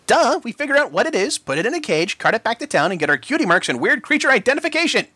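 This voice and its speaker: A man voicing a brash young tomboy pony filly, loud and shrill, in a read-aloud story. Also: big pitch swings, fast, breathy edge, no echo, full character voice. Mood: smug.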